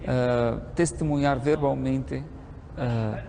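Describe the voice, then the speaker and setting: Gravelly Brazilian man explaining calmly. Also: mid-range voice, slow, a crowd in the background. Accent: Brazilian Portuguese. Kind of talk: explanatory talk.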